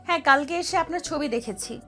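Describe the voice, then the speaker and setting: A woman's voice: sits high, brisk, bright, forceful tone, soft background music. A woman acting an earnest young bride, taken aback, in a romantic audio story. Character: startled young bride facing her former boyfriend.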